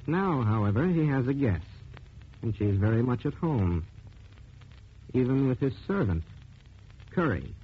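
A man hosting a 1940s radio program with measured, dramatic scripted narration. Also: medium pitch, big pitch swings, slightly rough. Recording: dull 1940s radio recording.

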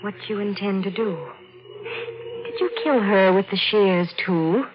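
A woman playing an eerie, fearless dead woman in 1940s radio style, cool, deliberate and knowing. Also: medium pitch, natural voice. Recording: dull vintage radio recording.

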